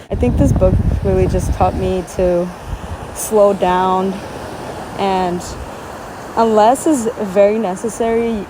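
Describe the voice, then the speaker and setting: A woman's voice: mid-range voice, noisy background. A woman whose first language is Spanish, vlogging outdoors at a beach in an earnest, chatty way with many fillers. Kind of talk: casual talk to camera. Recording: outdoor recording with loud waves. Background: waves.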